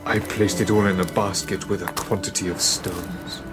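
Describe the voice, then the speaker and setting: An actor playing a guilt-ridden young scientist in a dramatised audiobook, grave and literary in manner. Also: male voice, sits low, little pitch movement, normal speed, close to an everyday voice. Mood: grim, haunted. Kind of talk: dramatised audiobook acting and first-person narration. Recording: loud music behind the voice.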